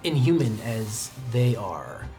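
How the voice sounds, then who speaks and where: A man's voice: fairly low pitch, big pitch swings, slow. Man running a tabletop game over music. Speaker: game master. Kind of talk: narrating a tabletop role-playing game.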